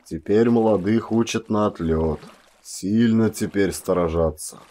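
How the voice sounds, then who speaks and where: A man acts out a taciturn village hunter for an audiobook. He grumbles in short, reluctant phrases, slow and fairly low. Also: mellow, lightly acted. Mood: matter-of-fact. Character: sturdy, taciturn Siberian peasant hunter, grumbling, evasive.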